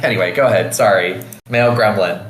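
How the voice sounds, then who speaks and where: A man's voice: fairly low pitch, fast, a bit bright. A man co-hosting a podcast in casual chat, effusive and slangy, swearing often. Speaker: podcast co-host reviewing game characters. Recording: roomy, echoey voice recording.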